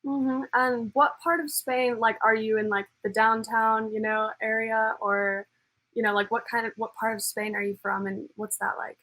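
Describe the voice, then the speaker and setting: A woman's voice: on the high side, little pitch movement, normal speed, a bit bright, rough recording. A young woman hosting a student show, asking casual, rambling interview questions.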